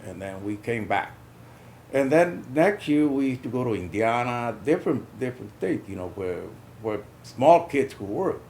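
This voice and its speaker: An older man from South Texas recalling his youth in a halting way, often repeating words. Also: medium pitch, very animated, darkish tone, slight background noise. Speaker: interviewee, veteran. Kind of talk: casual recollection.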